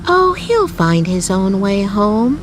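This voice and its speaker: A woman voicing a determined, coldly cheerful young lady in a storybook, brisk and matter-of-fact about cruelty. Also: mid-range voice, big pitch swings, background noise, voice pushed up. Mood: eager, dismissive. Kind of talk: storybook character voice.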